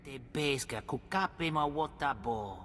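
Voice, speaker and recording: A lively high-pitched alien. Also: male voice, a little slow, a little hiss or hum.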